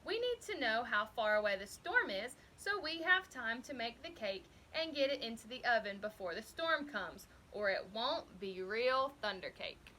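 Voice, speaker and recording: A woman voicing a calm, folksy farm grandmother from a children's storybook, reassuring and rustic in her phrasing. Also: a little high, moderate pace, hardly any pauses, slight background noise. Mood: steady, instructive. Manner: steady, soft, rustic phrasing. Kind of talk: children's storybook character reading.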